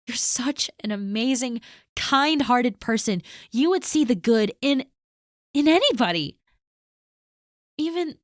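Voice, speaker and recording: A woman voicing a caring, protective best friend in an audio roleplay, talking earnestly to the listener and often dropping to a soft hush. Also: medium pitch, dry recording, studio quality.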